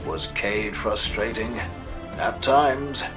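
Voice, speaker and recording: Fond old comrade type in a game, low and slow, reminiscing over music. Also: male voice. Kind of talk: scripted video game voice acting.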